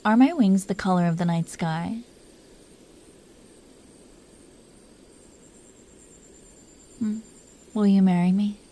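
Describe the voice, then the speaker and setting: A woman voicing a protective, smitten dragon queen in an intimate romantic audio roleplay, speaking softly and breathily with an affectionate, teasing manner, often near a whisper. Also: mid-range voice, lightly acted. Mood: loving. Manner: affectionate, teasing, slow confiding delivery.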